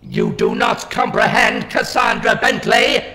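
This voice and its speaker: A man's voice: very high-pitched, unhurried, heavily acted. A man playing a fanatical, anguished alien war machine in a sci-fi audio drama, with a stilted delivery and a metallic, ring-modulated voice. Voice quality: harsh, metallic, electronic. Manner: shouted, stilted, declamatory delivery. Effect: robotic ring-modulated voice filter. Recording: long reverb, music underneath.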